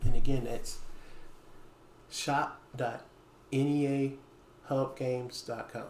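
A man in his thirties talking casually to camera as a store owner making an announcement, pausing often mid-phrase. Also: mid-range voice, level tone, darkish tone. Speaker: small game store owner and video host. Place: dim indoor room with wooden floor. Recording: echoey room, faint background noise.

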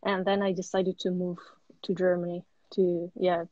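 A young woman from Russia, answering interview questions in a measured, steady way over a phone video call. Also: fairly low pitch, no room sound, lo-fi sound. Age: young adult. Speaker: guest artist. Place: indoor room at home.

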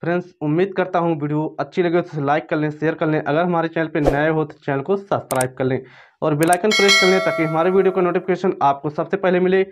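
A man's voice: fairly high pitch, steady tone, fast, noisy background. A man narrating an informal step-by-step tutorial in repetitive, instructional phrasing. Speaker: phone tutorial presenter. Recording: dull, lo-fi voice-over.

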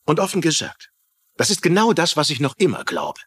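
A stern police commissioner in a crime drama. His voice is husky and varies a lot in pitch as he admonishes in formal, suspicious tones. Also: very animated, fairly quick. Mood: stern, disappointed. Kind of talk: German-dubbed TV crime drama acting.

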